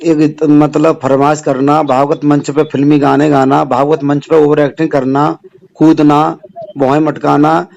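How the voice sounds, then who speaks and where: Gravelly, high-pitched man admonishing by phone. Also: level tone, unhurried, darkish tone. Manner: admonishing, formal, repeats phrases for emphasis. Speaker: religious organisation activist. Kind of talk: phone conversation. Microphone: phone call. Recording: phone call recording with clear noise.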